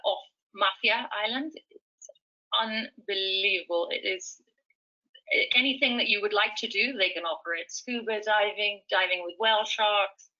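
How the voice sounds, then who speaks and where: Bright-voiced woman presenting safari options. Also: mid-range voice, highs cut off. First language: speaks fluent Swahili. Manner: enthusiastic, many fillers and restarts. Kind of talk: webinar presentation.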